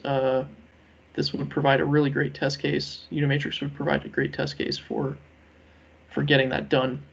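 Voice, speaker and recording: Gravelly, even-toned man on a dull conference call. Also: a little high. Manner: measured, hesitant, halting delivery with many ums. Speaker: working group lead presenting a proposal. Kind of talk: business presentation on a conference call.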